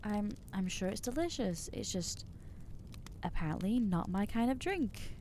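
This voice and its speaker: A woman voicing an anxious, guilt-ridden young female vampire in an ASMR roleplay, speaking haltingly and stammering as she reproaches herself. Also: medium pitch, sing-song, mellow, a little hiss or hum. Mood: flustered.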